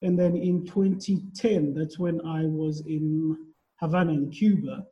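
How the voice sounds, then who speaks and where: A man's voice: a little high, fairly even intonation, unhurried, mellow, lo-fi sound. A man hosting a podcast, asking questions with curiosity and a casual, searching way of talking. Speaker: podcast host. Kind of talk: casual interview chat.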